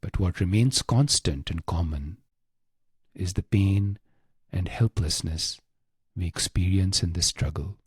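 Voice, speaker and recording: A man leads a guided meditation in a low, soft-edged, mellow voice. He speaks very slowly and deliberately, with a hushed, solemn delivery and long pauses. The sound is close and dry. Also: slightly rough, dry recording. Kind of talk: slow scripted guided meditation.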